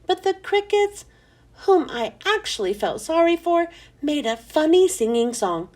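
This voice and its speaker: High, animated woman reading a storybook. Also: unhurried. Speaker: children's book reader. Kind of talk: expressive scripted storybook narration.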